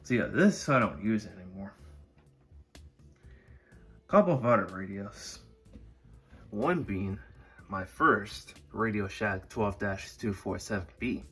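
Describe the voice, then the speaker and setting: A man speaks in a low voice with plenty of vocal fry. He narrates a casual showcase, putting his sentences together in a halting, wordy way. Faint music plays behind him. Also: expressive, a bit bright. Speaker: video creator presenting a collection.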